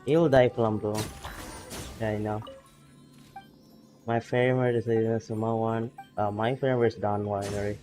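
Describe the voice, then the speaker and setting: A man's voice: on the low side, level tone, moderate pace, long pauses, warm tone, faint music behind. A man streaming a video game, chatting casually to his viewers and laughing often as he plays. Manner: laid-back, chatty, laughs often, greets chat by name. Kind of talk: casual gameplay stream chat.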